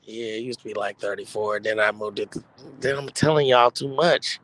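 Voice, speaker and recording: An American man in his thirties chatting casually in heavy slang, bantering with an animated, theatrical air of protest. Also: fairly low pitch, normal speed, low-quality recording. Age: 30s. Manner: animated, dramatic mock protest.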